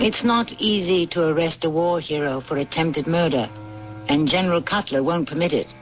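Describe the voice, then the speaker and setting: A man's voice: fairly high pitch, normal speed. A man hosting and narrating a radio mystery in a dramatic, scripted style. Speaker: host and narrator. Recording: muffled old radio broadcast. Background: music.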